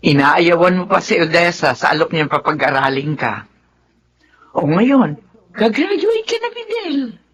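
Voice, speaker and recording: Husky Filipino mother. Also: fairly low pitch, big pitch swings, unhurried, exaggerated voice. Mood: tender, nostalgic. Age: middle-aged. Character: supportive middle-aged mother and wife, gently teasing. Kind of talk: Tagalog radio drama acting.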